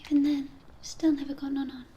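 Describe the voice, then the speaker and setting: A woman playing a dreamy, whimsical young schoolgirl in an ASMR fantasy roleplay, soft and whispery with an airy way of musing. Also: sits high, level tone, fast, dull audio, natural voice.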